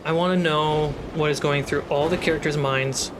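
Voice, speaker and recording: A man's voice: a little high, steady tone, normal speed. A man acting as game master, narrating a fantasy tabletop game among friends. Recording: clear background noise and ambience.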